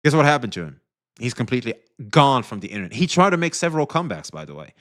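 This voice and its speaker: A male streamer giving animated, profane commentary, speaking straight to his chat. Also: medium pitch, quick pace.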